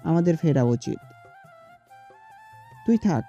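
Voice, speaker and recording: A man's voice: a little high, lively intonation, takes it slowly, dark tone, faint music behind. A man playing a practical, cautious young friend in an audio story, on a muffled recording. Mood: concerned, practical.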